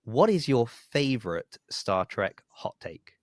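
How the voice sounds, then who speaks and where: A man's voice: mid-range voice, sing-song, slow pace, no echo, studio quality. A middle-aged man hosting a podcast in a casual, chatty interview style. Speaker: podcast host.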